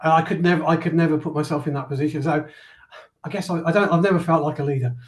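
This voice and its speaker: A man's voice: a little high, fairly even intonation, fast talker. A man answering interview questions as a company founder, modest and hesitant, often repeating words as he thinks. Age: 50s.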